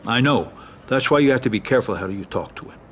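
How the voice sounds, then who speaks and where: An actor playing a calm, calculating middle-aged American mafia lawyer and adviser in a video game cutscene. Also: male voice, medium pitch, fast talker, slight background noise, lightly acted. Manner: advising, composed.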